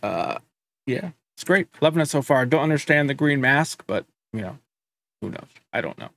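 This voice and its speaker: A man in his thirties hosting a music reaction video from a home studio, talking in a relaxed, chatty way with frequent filler words. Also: medium pitch, normal speed, creaky. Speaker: reaction channel host. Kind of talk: casual music reaction commentary.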